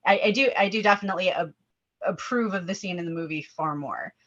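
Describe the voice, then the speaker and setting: A woman hosting a casual film discussion, talking in an animated, opinionated way with rambling asides. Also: fairly low pitch, quick pace, highs cut off. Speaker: host leading a table-read discussion.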